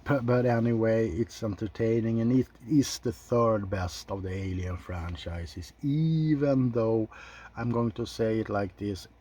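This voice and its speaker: A man's voice: lowish voice, unhurried, warm tone, husky. A man hosting an ASMR film ranking, chatting in an informal, rambling way with some mild swearing. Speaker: video host reviewing films.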